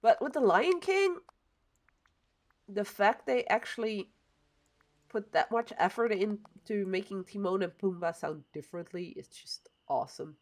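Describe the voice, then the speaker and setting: A woman's voice: mid-range voice, expressive, unhurried, a little mellow. Chatty woman streaming a game. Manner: chatty and opinionated, muttering to herself as she builds. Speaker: livestreamer. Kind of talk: casual chat while playing a building game.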